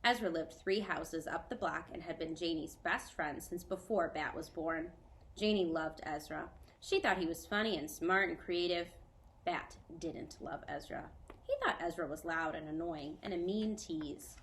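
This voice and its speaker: A woman teacher reading a children's novel aloud to a student, clear, measured and gentle. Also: on the low side, a little hiss or hum.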